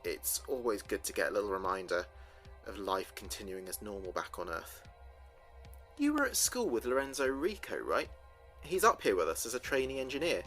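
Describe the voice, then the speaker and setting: A man playing an earnest space communications officer, recording logs in a measured, thoughtful way for a sci-fi audio drama. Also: lowish voice, very animated, a bit bright, faint music behind. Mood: warm, chatty. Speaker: communications officer keeping a mission log. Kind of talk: audio drama log narration.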